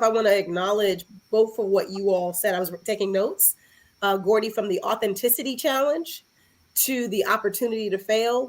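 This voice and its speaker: A woman's voice: medium pitch, darkish tone. Female podcast guest from New York City, mid-range voice, casual and thoughtful conversational delivery. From Harlem, New York City.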